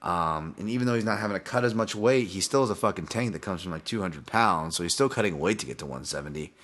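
A man's voice: low-pitched, quick pace, fairly bright tone. A man with a Hawaiian accent hosting a casual solo podcast, talking in a rambling way with many restarts and casual swearing. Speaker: podcast host and fight analyst.

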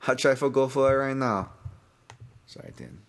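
A man's voice: medium pitch, very animated, moderate pace. A man with a Hawaiian accent hosting a casual solo podcast, talking in a rambling way with many restarts and casual swearing. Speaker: podcast host and fight analyst.

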